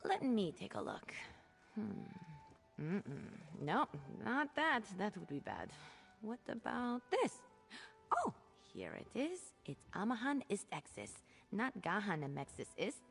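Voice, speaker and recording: Video game companion: eager young female mage, cheeky, animated delivery, music underneath. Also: medium pitch, slow pace, creaky, exaggerated voice. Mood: amused, cheeky, then searching, musing. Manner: playful, expressive, chatty. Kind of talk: video game dialogue acting.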